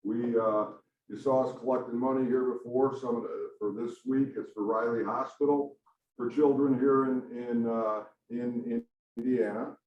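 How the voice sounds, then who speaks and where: A man's voice: medium pitch, fairly even intonation, normal speed. A man hosting a club meeting, rambling and hesitant with many restarts. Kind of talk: casual introduction at a club meeting. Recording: echoey room, voice far from mic, dull.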